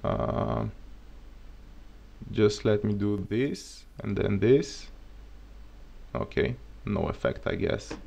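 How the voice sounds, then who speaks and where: A man with a Bulgarian accent, a native Bulgarian speaker, teaching programming on a live coding stream. He thinks aloud as he works and jokes in a relaxed way, speaking into a desk mic at his computer. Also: on the low side, slow pace, creaky, long pauses, slight background noise.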